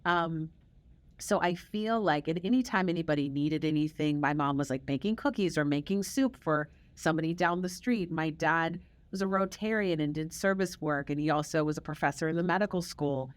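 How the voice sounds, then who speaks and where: A middle-aged woman with a notably low voice hosts a conversation, sharing family history in a reflective, storytelling way. She stresses her points by repeating words. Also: a little fast, no room sound. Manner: reflective storytelling, warm emphatic repetition. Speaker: podcast host. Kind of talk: casual conversational interview.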